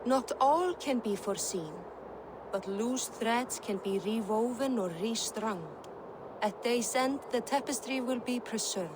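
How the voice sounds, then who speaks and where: An actress playing a solemn fate-weaving prophetess spirit in a game cutscene, measured and ominous. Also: female voice, on the high side, noisy background, natural voice. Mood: cryptic, calm. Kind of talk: dramatic video game cutscene acting.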